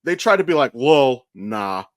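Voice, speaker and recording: A man's voice: medium pitch, measured pace, no room sound. Blunt, profane American man in a dry recording. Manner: blunt, profane, animated storytelling. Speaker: podcast co-host. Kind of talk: casual chat.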